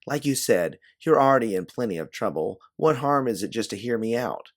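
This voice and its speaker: A man's voice: medium pitch, animated, a little fast, pitched above the performer's own voice, lightly acted. A man voicing an evasive, persistent woman who placates and presses in an audio story. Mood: placating, insistent. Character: insistent, evasive woman on a phone call.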